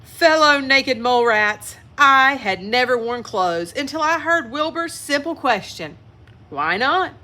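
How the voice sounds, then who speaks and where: A woman's voice: sits high, animated, measured pace, bright, forceful tone, exaggerated voice. A woman voicing a wise old grandfather mole rat in a storybook, stately and proclaiming. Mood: grand, reflective.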